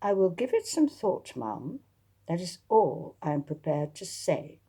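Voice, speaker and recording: A proud gentlewoman of historical fiction played by a woman reader, formal and defiant in her phrasing. The voice is lowish and slightly creaky, with exasperation under the good manners. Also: sing-song, a little slow, full character voice. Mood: guarded. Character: proud, exasperated young-spirited genteel lady sleuth. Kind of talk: dramatised historical novel reading.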